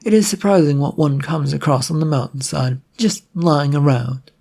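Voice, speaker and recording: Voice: medium pitch, normal speed, vocal fry, a little mellow, slightly rough. A narrator voicing a bored, ruthless female gunslinger with a brusque, sardonic manner in an adventure story. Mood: bored, breezy.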